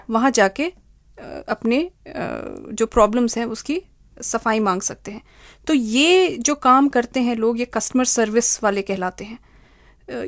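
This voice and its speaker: A woman's voice: on the high side, dry recording, studio quality. A woman giving explanatory interview answers as a consultant, spelling out terms with examples. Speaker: human resource consultant, interview guest.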